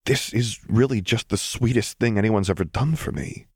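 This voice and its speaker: A gentle, earnest young mage speaks softly in a lifted voice as a man plays her. She sounds sincere and a little pleading, in a close, dry reading. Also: lowish voice, normal speed, breathy edge, no echo, pitched above the performer's own voice. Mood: tender. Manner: soft-spoken and pleading.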